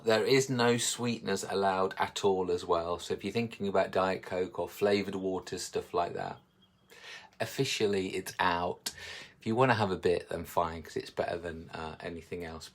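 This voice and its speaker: A man's voice: low-pitched, normal speed. A man and slimming coach talking live to his viewers in a chatty, encouraging way. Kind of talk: live social media talk.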